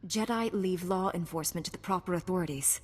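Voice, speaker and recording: A woman's voice: on the low side, quick pace, big-room sound, lightly acted. An actress playing a principled, resolute female warrior knight in a video game, speaking in a firm, composed way. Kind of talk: video game cutscene acting.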